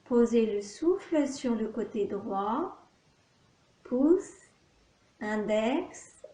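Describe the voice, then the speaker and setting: A woman teaching yoga nidra, leading a guided relaxation with calm, measured instructions and long pauses. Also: a little high. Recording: echoey room.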